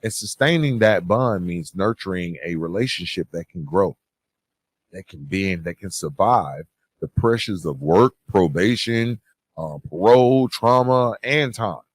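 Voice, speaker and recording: A man hosting a solo podcast, giving advice and encouragement in an earnest, deliberate way with emphatic phrasing. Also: low-pitched, lively intonation, slightly rough, no echo. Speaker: podcast host.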